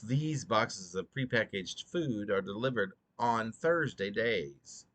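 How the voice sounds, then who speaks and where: A drowsy man reading his own poetry slowly and deliberately, stumbling and repeating phrases as he goes. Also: on the low side, animated, a bit bright. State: drowsy, short on sleep. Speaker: poet reading own work. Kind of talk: slow, halting poetry reading.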